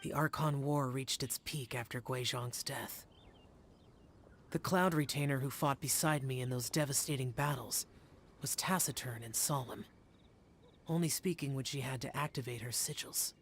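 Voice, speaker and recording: A man's voice: medium pitch, normal speed, a little hiss or hum, natural voice. A man playing a stern, aloof, youthful immortal guardian in a video game, with formal, reserved phrasing. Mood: stern, reflective. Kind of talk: video game character voice acting.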